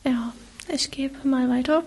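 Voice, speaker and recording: A woman's voice: high-pitched, fairly even intonation, darkish tone, slightly rough. A twenty-one-year-old woman in a casual group chat, speaking hesitantly with many fillers. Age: early 20s. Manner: slow, hesitant, many "ähm". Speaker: podcast participant. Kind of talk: casual round-table chat.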